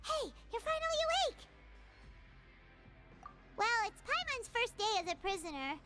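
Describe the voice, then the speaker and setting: A tiny, excitable fairy girl from a video game, chattering in a very high, childlike squeak. Her pitch swings in lively, exclamatory leaps, and she sounds naive and eager. Music plays clearly behind her. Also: a little slow, full character voice. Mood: chatty, defensive. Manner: speaks of self in third person, exclamatory. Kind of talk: video game character acting.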